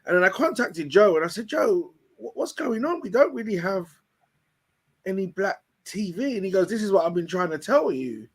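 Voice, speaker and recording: A hoarse man with a British accent, a channel founder telling how it began in a rambling way, with many fillers. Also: sits high, sing-song, moderate pace. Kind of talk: casual chat on a video call show.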